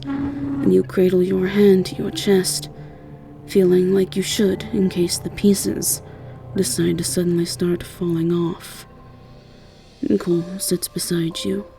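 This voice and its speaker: Creaky, mellow English woman narrator. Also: lowish voice, steady tone, measured pace, faint music behind. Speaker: narrator and voice actor. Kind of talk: scripted second-person story narration.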